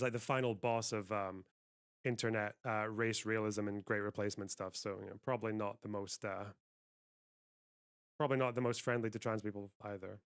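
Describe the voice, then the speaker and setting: A man's voice: mid-range voice, pushed and bright, smooth. A man giving argumentative commentary, articulate and insistent as he makes his case. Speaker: video commentator and debater.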